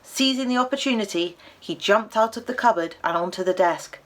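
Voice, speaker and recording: A British woman reading a children's story aloud expressively, with animated delivery and dramatic pauses. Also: mid-range voice, slight background noise, low-quality recording.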